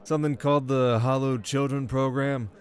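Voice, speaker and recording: A man's voice: medium pitch, fairly even intonation, moderate pace, smooth, lightly acted. A man voicing a self-important, naive young prince in an audio roleplay, with formal and pompous delivery. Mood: regal, pompous. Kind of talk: ASMR audio roleplay acting.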